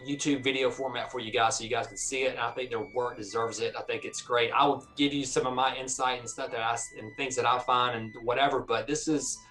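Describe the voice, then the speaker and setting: A man hosting a film-fan video series, talking casually in a halting, rambling way and often correcting himself. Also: mid-range voice, steady tone, sharp tone, faint music behind, rough recording.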